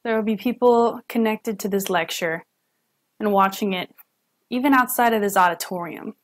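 A young woman, a student interpreter, voicing a signed lecture live at home into a webcam, pausing and correcting herself as she goes. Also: medium pitch. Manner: halting, with self-corrections and restarts. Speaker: student sign language interpreter.

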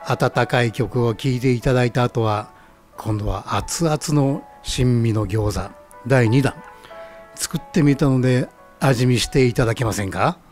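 A man's voice: medium pitch, measured pace, darkish tone, natural voice. Guy acting a genial ramen shop owner in a radio skit, polite and explanatory, music behind. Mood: friendly, informative. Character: genial male ramen stall owner who knows his music. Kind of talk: scripted radio skit introducing songs.

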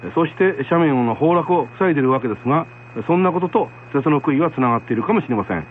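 A man's voice: a little high, expressive, fast talker. A man presenting a program, giving reflective commentary. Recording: muffled lo-fi with faint noise, hum.

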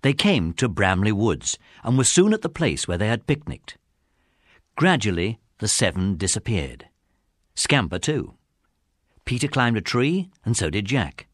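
A man's voice: lowish voice, sing-song, measured pace, no echo, clean studio sound. A man narrating a children's adventure story from a script. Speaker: audiobook narrator.

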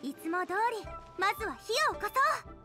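A young girl cook in an anime game, eager and earnest. Her voice is very high and lively, with a cheerful, energetic delivery. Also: normal speed, over music. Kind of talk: Japanese anime game voice acting.

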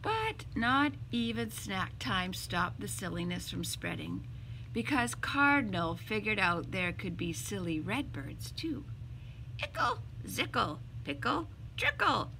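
Woman, children's read-aloud, theatrical storyteller, unhurried pace, background noise. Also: medium pitch, big pitch swings, gravelly, sharp tone, poor audio. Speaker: storyteller reading a picture book to children. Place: small indoor room with bookshelves.

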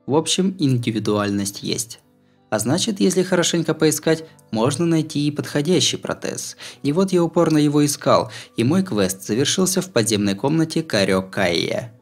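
Male audiobook narrator over music. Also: mid-range voice. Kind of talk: scripted first-person novel narration. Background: music behind the voice.

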